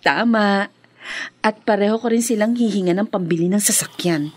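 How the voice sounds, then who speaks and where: A woman playing a scheming, sweet-talking young mistress in a Filipino radio drama, with a coaxing, expressive delivery. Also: mid-range voice, normal speed, close to an everyday voice. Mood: breezy, confident.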